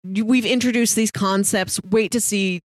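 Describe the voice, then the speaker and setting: A woman's voice: mid-range voice, fairly even intonation, measured pace, no echo. A woman co-hosting a casual movie review discussion in a conversational way. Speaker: podcast co-host reviewing movies.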